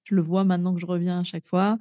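A woman's voice: lowish voice, flat delivery, racing, mellow, dry recording. A woman from France hosting a podcast, chatting casually with a guest and then wrapping up for listeners, with many fillers and restarts. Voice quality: frequent fillers and restarts, laughs easily. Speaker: podcast host.

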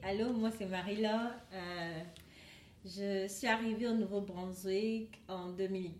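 A woman's voice: medium pitch, steady tone, a little slow. A woman from Ivory Coast, talking casually as a co-host in a group chat. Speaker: podcast co-host. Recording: echoey room, faint noise.

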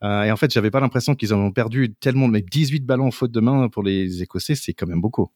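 A man who is not a native French speaker, co-hosting a sports podcast in informal, somewhat halting casual chat. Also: lowish voice, darkish tone, no echo, clean studio sound. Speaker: rugby podcast co-host.

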